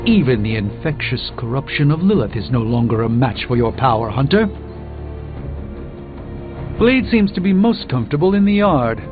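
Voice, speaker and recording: A man voices an erudite middle-aged sorcerer superhero for a video game. His delivery is formal, dry and a touch pompous, with animated pitch movement. The sound is thin and band-limited, with music clearly behind it. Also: normal speed, phone-quality sound, lightly acted. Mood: dry, brooding.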